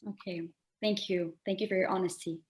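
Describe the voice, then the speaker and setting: A woman's voice: mid-range voice, fairly even intonation, a little fast, a little mellow. Young woman student reading interview questions. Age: young adult. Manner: polite, formal, reading prepared remarks. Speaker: first-year college student, interviewer.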